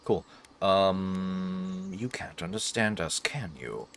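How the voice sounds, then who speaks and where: Male gameplay host, deep and animated, joking casually as he plays. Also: slow. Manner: playful, jokey asides to game characters. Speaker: gaming host playing through a game. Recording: clearly noisy recording with background ambience. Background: game ambience and action sounds.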